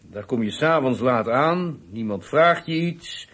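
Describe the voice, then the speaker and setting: A man's voice: mid-range voice, lively intonation, a little slow, a bit bright. A man playing a probing interrogator in a radio drama, putting pointed, knowing questions. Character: probing adult male interrogator, knowing and persistent.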